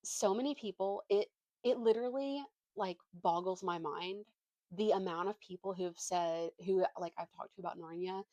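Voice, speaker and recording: A woman's voice: mid-range voice, normal speed, no room sound, muffled recording. A young woman chatting casually as a book vlogger, opinionated and slangy, poking fun at herself. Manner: animated slangy delivery, opinionated, self-deprecating.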